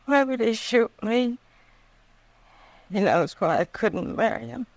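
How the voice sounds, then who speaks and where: An actress playing a dying, resigned young frontier wife in an old-time radio western, weak and halting. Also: female voice, fairly high pitch, big pitch swings, a little mellow, no room sound. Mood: sad, resigned. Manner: halting, faint. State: weak, fading.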